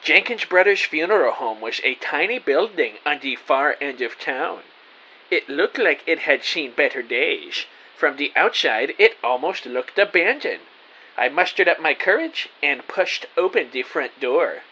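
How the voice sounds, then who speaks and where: A man playing a self-important, excitable private investigator, narrating his case theatrically in an audio drama. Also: on the high side, unhurried, highs cut off, slight background noise. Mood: businesslike. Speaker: narrator and lead actor. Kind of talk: first-person detective audio drama narration.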